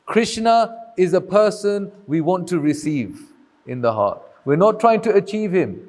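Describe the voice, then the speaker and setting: A man's voice: high-pitched, darkish tone, big-room sound. A man teaching a group, answering questions with patient, reassuring explanations. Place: community hall.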